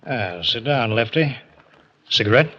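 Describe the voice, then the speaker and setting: Placating old superintendent, muffled radio. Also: male voice, mid-range voice, animated, measured pace, bright, forceful tone, slight background noise. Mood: soothing, probing. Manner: polite, soothing, formal. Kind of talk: 1940s radio drama acting. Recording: dull old radio recording with music.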